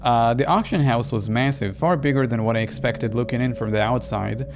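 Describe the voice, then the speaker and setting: A man's voice: mid-range voice, a little fast, background noise, voice pushed down, lightly acted. A man reading the part of a wary, plain-spoken young woman narrating a horror visual novel. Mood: reflective. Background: music behind the voice.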